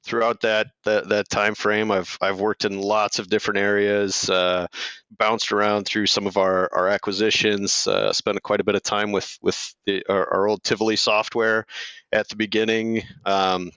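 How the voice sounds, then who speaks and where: A man from Minnesota, a podcast guest on a video call, talking in a halting way with frequent pauses and restarts. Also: fairly low pitch, level tone, a bit bright, smooth, no room sound. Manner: hesitant, lots of fillers and restarts. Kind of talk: casual podcast chat. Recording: dull, dry lo-fi audio.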